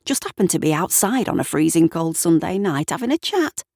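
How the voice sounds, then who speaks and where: A woman's voice: lowish voice, big pitch swings, brisk, a little mellow, no echo, clean studio sound. A woman voicing a gossipy man in a story, with a conspiratorial, sardonic manner. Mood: sarcastic, defensive. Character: gossipy, wry man in the pub.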